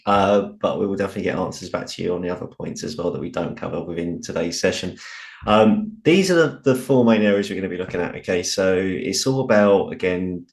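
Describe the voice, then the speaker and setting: A British man presenting a software training webinar, informal with frequent ums and restarts, his voice a little croaky. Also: low-pitched. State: slightly croaky voice.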